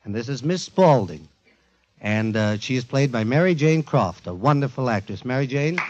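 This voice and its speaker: A man presenting a show to a studio audience in an emphatic, informal, jokey warm-up style, on a 1940s radio recording. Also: mid-range voice, lively intonation, normal speed, lo-fi sound. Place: before a live studio audience.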